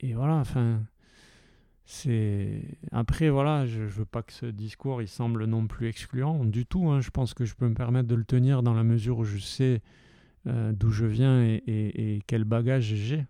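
Impassioned French-speaking man, very dark voice. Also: mid-range voice, steady tone, normal speed, dry recording, studio quality. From Occitan-speaking region of France. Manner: impassioned, many hesitations and restarts. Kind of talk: passionate casual talk.

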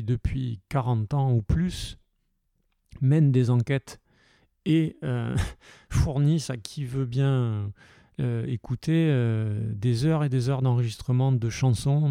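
A man's voice: medium pitch, gravelly, warm tone, no echo, clean studio sound. A man from the south of France talking heatedly and casually, with many hesitations and restarts. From Occitan-speaking region of France. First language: French. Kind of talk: passionate casual talk.

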